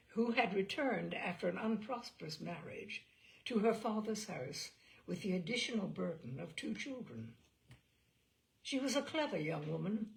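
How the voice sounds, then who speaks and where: A woman narrating literary fiction with a very animated, swooping intonation. Her voice often drops into a creaky fry. She reads in a measured, storytelling way, stressing some phrases and hushing others. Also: mid-range voice. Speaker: audiobook reader and storyteller. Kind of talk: scripted literary narration, reading a classic novel aloud.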